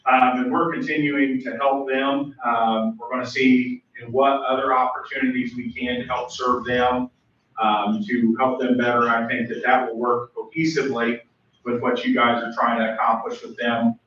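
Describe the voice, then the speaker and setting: Booming-voiced young man, echoey room. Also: level tone, normal speed. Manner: earnest, grateful, loud speaking voice. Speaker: youth pastor speaking at public comment. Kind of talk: public comment at a school board meeting. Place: large meeting room. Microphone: room mic from far off. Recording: echoey, dull, distant room recording.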